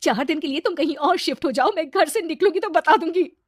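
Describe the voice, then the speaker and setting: A woman voicing a strong, self-reliant wife who is wronged and speaks firmly in an animated story. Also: very high voice, quick pace, no echo, clean studio sound, voice pushed up. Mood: firm, cold.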